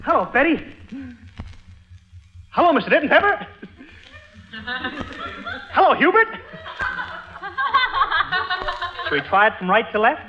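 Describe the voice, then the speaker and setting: An actor playing a bumbling, innocent, boyish young suitor with a nervous, tongue-tangled manner in a 1940s radio comedy. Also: male voice, extremely high pitch, sing-song, normal speed, long pauses, highs cut off. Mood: puzzled. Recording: very noisy old radio recording.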